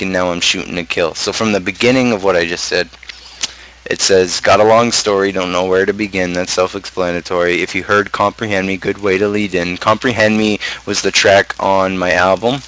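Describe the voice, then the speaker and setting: A man with a low-pitched voice talks casually through song lyrics. His delivery is halting, with repeated starts, and stays quite flat. There is some noise behind him. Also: poor audio. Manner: halting, repeats and restarts phrases. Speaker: streamer and rapper. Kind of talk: casual talk, explaining own song lyrics.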